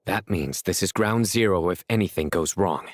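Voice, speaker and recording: An actor playing a composed, authoritative officer in a video game, calm and matter-of-fact. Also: male voice, low voice, moderate pace, no echo, clean studio sound. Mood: resolute.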